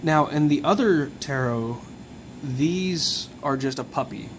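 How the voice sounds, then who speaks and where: Hoarse man explaining casually. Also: on the high side, takes it slowly, a little hiss or hum, poor audio. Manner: relaxed, musing, walks through details. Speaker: tarot video host.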